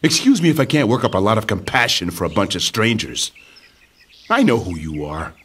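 A man playing a bitter, streetwise vigilante ally in a comic audio drama, gruff, sardonic and distrustful. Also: lowish voice, sing-song, fairly quick, fairly bright tone, heavily acted. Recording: clear background noise and ambience.

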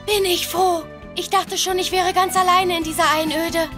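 A woman's voice: very high voice, level tone, moderate pace, over music. A woman playing a wary, questioning young schoolboy in a German children's audio drama. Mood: puzzled, wary.

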